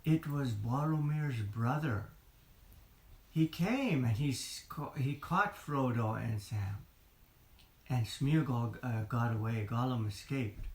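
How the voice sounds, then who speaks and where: A woman with a low voice tells a children's bedtime story in simple words. She goes slowly, in an echoey room with faint noise. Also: expressive. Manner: simple, repetitive phrasing for young listeners. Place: indoors in a room.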